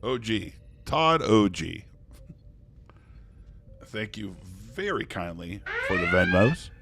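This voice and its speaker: Gravelly low-voiced man streaming. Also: very animated, takes it slowly, a bit bright, faint music behind. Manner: easygoing, thanks viewers, jokes in character as a starship commander. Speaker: poker streamer. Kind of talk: casual live-stream chat while playing online poker.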